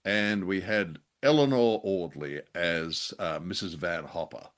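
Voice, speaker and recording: An older man co-hosting a casual discussion of film and radio drama, with a droll, halting, courteous manner. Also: deep voice. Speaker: podcast co-host.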